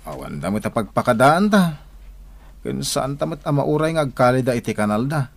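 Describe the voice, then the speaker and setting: An actor playing a humble, law-abiding labourer husband in an Ilocano radio drama. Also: male voice, mid-range voice, very animated, moderate pace, creaky. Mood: practical.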